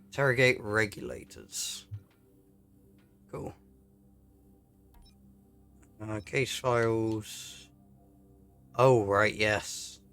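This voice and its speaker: Low-key man muttering over game music. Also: fairly even intonation, very slow, long pauses, a bit bright, clean tone. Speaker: gaming YouTuber. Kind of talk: casual game playthrough commentary.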